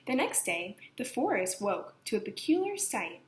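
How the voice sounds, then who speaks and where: A woman reading a children's picture book aloud with expressive, dramatic emphasis. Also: medium pitch, normal speed. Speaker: storybook reader. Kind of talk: animated children's read-aloud narration. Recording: echoey room, slight background noise.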